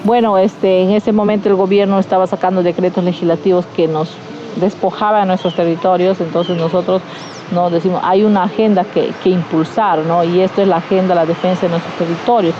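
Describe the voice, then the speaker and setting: A woman rights leader explains in a measured way, adding tag questions. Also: lowish voice, steady tone, dull audio, background noise. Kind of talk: documentary interview.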